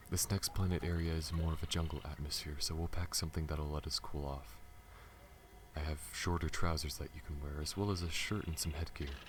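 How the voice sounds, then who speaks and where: A man playing a gentle, bashful alien pilot in an intimate ASMR audio roleplay, speaking softly and breathily with a hesitant, tender manner. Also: rumbling low, slight background noise. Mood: gentle, awkward.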